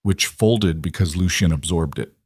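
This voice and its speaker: A man voicing a grave, guarded mafia boss in an audiobook, with slow, terse delivery. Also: sits low, darkish tone, breathy edge, dry recording, studio quality, voice pushed down. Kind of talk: audiobook character dialogue.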